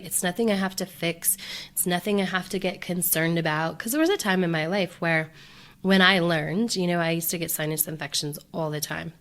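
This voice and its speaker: A woman hosting her own podcast, talking in a loose, upbeat, rambling way with lots of fillers and restarts. Her voice sits on the low side, pulled a bit deeper than usual by a cold. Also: fairly bright tone. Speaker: podcast host. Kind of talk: casual solo podcast talk.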